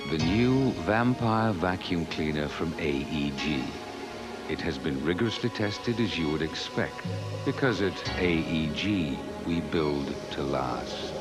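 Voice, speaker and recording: A man's voice: extremely low pitch, animated, measured pace. A man reading a scripted product advert over guitar music. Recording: loud guitar music behind voice.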